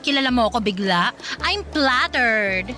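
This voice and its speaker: An actress with a Filipino accent playing a proud, feisty young eatery owner with sassy comebacks in a radio drama. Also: female voice, a little high, animated, unhurried, sharp tone, soft background music. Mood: sassy, defiant. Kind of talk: Tagalog radio drama acting.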